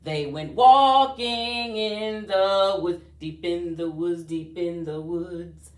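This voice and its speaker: A woman reading aloud to children as a storyteller, with an expressive, singsong delivery. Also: on the low side, slow pace. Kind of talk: read-aloud storytime with rhyming chant. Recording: roomy echo, distant mic, faint music.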